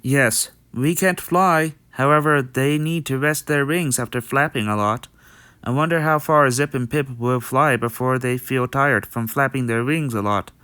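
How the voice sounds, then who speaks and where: A man acts out a friendly young stallion from a fan-made cartoon dub. He is chatty and practical, explaining things earnestly in a clean, smooth voice. The sound is dry and close. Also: medium pitch, unhurried, pushed and bright, no room sound. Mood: earnest, pondering. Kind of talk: amateur comic dub voice acting.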